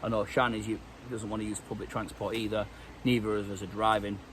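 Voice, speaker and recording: A man's voice: on the low side, level tone, brisk, background noise. A British man with a stuffy cold, chatting casually in a vlog with lots of ums and restarts. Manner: rambling, many ums and restarts. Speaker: vlogger. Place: outdoors in woodland.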